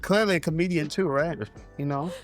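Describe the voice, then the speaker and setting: Jersey guy in his 30s, slightly high voice, cocky teasing podcast banter, quiet music under. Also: fairly quick. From New Jersey, United States. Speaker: podcast panelist. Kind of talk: casual banter.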